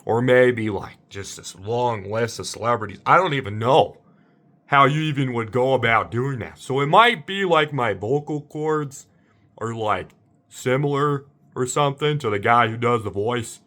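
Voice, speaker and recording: A man's voice: moderate pace, a bit bright. Rambling, bewildered man chatting casually. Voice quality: slightly deep voice. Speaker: amateur voice impressionist. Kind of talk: casual rambling monologue to viewers.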